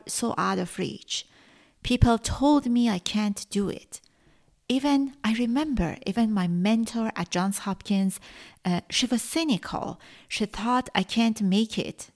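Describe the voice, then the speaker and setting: A middle-aged woman, a native Farsi speaker from Iran, hosting her own podcast and telling her story earnestly with frequent pauses. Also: mid-range voice, darkish tone. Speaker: podcast host. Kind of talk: solo podcast monologue.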